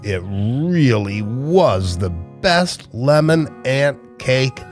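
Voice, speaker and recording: Animated, slow-paced man telling children stories. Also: mid-range voice, faint music behind. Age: older. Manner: animated, playful, exaggerated for children. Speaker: children's storytime host and entertainer. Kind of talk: children's storytelling and talk to young viewers.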